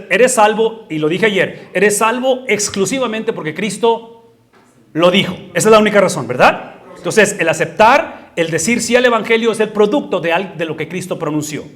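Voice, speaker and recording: A man teaching like a pastor, conversational, checking often that his listeners follow, rising to loud emphatic peaks and dropping to quiet asides. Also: high-pitched. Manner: conversational, asks for agreement often. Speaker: pastor teaching a leadership session. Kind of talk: sermon-style Bible teaching to a live group.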